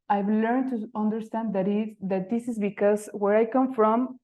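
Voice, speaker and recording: A woman's voice: medium pitch, warm tone, lo-fi sound. Mexican woman speaking hesitant English. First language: Spanish. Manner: hesitant, frequent fillers and restarts, non-native phrasing. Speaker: type designer giving a talk. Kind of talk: conference presentation. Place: lecture venue.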